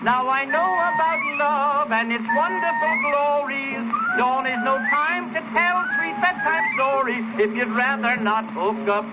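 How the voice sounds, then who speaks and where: A man's voice: very high-pitched, measured pace, few pauses, muffled recording. A man singing a comic novelty song in 1920s style on an old phonograph record. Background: music accompaniment.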